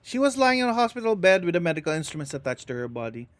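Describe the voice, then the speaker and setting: A man's voice: high voice, sing-song, very fast, no room sound. A male streamer reading ghost stories aloud with dramatic delivery and casual asides, who tends to mumble and trip over his words, into a desk microphone.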